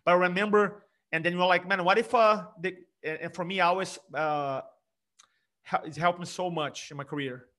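A middle-aged man from Brazil with a Brazilian Portuguese accent, an energetic speaking coach talking emphatically in an interview. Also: high voice, normal speed. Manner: energetic, emphatic, frequent restarts. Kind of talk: conversational interview.

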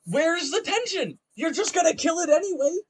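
A man's voice: extremely high pitch, expressive, normal speed, no echo, studio quality. A man in a casual, argumentative chat about games, speaking emphatically and swearing freely. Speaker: podcast co-host.

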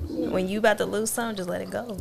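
A woman in a casual podcast conversation, offering calm, short, aphoristic remarks. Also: medium pitch, very fast, vocal fry. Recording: crowd noise behind.

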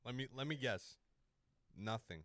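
A young man hosts casual banter, teasing a stranger with crude jokes. His voice sits in a middle pitch and the sound is dry and close, with no room around it. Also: animated, a little slow, sharp tone, no echo. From Canada. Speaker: host of a stream chatting with strangers.

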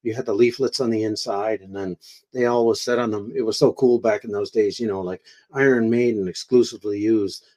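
A man's voice: fairly low pitch, fairly even intonation, a little fast, a little mellow. A man talking as a guest in a casual chat, rambling with lots of fillers and eager agreement.

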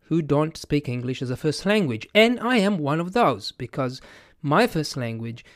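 A man's voice: fairly high pitch, big pitch swings, normal speed, dry recording, clean studio sound. A man with an Italian accent, a podcast host thinking aloud to his audience, hesitant, with many fillers and restarts. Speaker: podcast host and language educator. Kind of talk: casual reflective monologue to camera.

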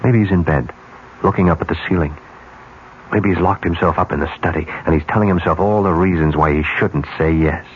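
Casting: male actor as smooth, deep-voiced cynical ad man, persuasive and emphatic, for a vintage radio thriller with muffled sound. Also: a little fast, close to an everyday voice. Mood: smugly persuasive. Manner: smooth, chuckling, insistent and emphatic. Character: cynical, persuasive male ad executive scheming to corrupt a colleague. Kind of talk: radio mystery drama acting. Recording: dull, noisy old radio recording.

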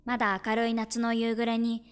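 A woman playing an earnest, shy high school girl in a Japanese audio drama, gentle and hesitant in manner. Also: monotone, normal speed, clean tone, natural voice. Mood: tender, wistful. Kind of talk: Japanese audio drama acting with first-person narration.

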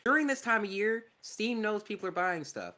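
A man's voice: high-pitched, animated, moderate pace, pushed and bright. A young man co-hosting a casual podcast, joking and laughing easily as he chats. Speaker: podcast co-host. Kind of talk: casual chat.

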